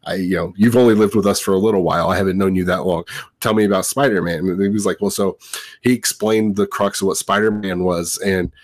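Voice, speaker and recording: A man's voice: sits low, steady tone, fast, no echo. A man in his thirties telling personal stories casually as a podcast host, in a rambling, enthusiastic way. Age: 30s.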